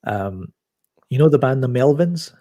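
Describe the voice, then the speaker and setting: A man talking in a soft voice as a podcast guest, telling a story with many halting restarts. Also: mid-range voice, animated. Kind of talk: casual chat.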